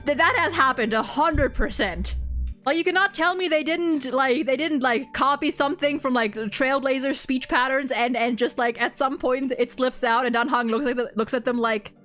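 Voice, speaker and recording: A high-voiced woman co-hosts a casual gaming fan chat. She talks effusively and swears freely, with music playing behind her. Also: normal speed, fairly bright tone. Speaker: co-host.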